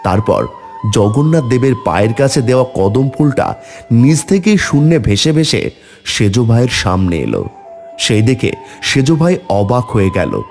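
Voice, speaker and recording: Casting: male narrator for dramatic folk tales, lively intonation, medium pitch, light music bed. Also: normal speed. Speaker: story narrator. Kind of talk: scripted dramatic storytelling narration.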